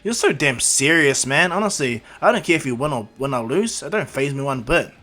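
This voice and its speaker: A man's voice: mid-range voice, lively intonation, brisk, sharp tone. A man voicing a brash teenage boy with superpowers, blunt and dismissive, in an anime-style story. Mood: scornful.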